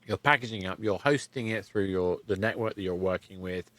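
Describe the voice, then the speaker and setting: A man with a fairly low voice talks as a podcast host in a dry, close recording. He speaks conversationally and haltingly, reworking his phrases as he goes. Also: fairly bright tone, no echo.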